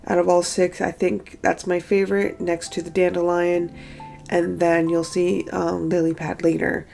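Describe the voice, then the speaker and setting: Young woman art vlogger, hoarse and gravelly, lowish voice, chatty and self-critical, faint music underneath. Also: a little mellow. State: hoarse, stuffy morning voice. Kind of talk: casual talking-head recap.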